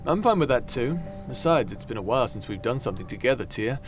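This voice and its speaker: A man playing a breezy, roguish adventurer god in an ASMR fantasy audio roleplay, casual and animated with hesitant bluster. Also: medium pitch, fast talker, faint music behind, close to an everyday voice. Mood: breezy, joking.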